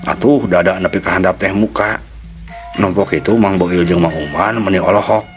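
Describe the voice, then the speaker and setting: A man's voice: low voice, normal speed, faint music behind. A man with a Sundanese accent narrating a folk tale dramatically as a storyteller.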